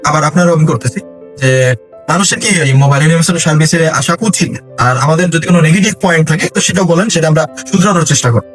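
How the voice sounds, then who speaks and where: Higher-pitched man hosting an interview. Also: fast talker, faint music behind. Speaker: interviewer, host. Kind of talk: casual interview questioning.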